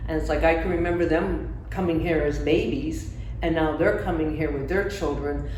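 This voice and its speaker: A woman's voice: on the low side, a little mellow. Older woman chatting in an echoey room. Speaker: pediatric office manager, interview guest. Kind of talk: casual interview chat. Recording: echoey room, distant mic, faint noise.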